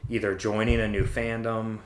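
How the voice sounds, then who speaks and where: Low-voiced man in an echoey room. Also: level tone, normal speed, slight background noise. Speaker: podcast co-host. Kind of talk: casual chat, discussion. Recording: roomy, echoey room sound.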